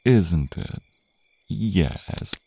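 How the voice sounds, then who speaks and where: A man's voice: sits low, very animated, extremely slow, vocal fry, background noise, full character voice. A man voicing a suave, predatory vampire in an intimate ASMR roleplay, with a teasing, possessive, theatrical drawl and murmured asides. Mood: coaxing, sly.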